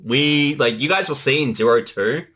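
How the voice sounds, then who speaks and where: A man's voice: mid-range voice, normal speed, bright, forceful tone. A man giving casual creator commentary on his own series.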